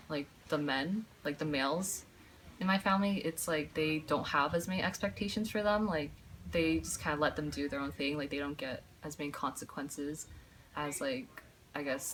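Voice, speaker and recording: A young woman student with a low voice, talking casually in an interview and often correcting herself mid-thought. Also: fairly bright tone, a little hiss or hum, poor audio. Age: young adult. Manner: halting, self-correcting.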